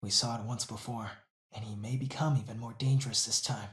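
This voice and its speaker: A man's voice: lowish voice, fairly even intonation, a little fast, fairly bright tone, close to an everyday voice. Man as solemn game cultist in echoing room. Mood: foreboding. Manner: formal, ominous, reverent. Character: solemn cultist islander, reverent and foreboding. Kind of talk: video game character acting. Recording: roomy echo.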